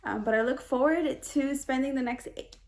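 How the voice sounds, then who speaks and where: Young woman from hawaii introducing herself for class, earnest, bit high voice. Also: normal speed. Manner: earnest and reflective, with restarts and soft laughs. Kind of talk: self-introduction video for a class.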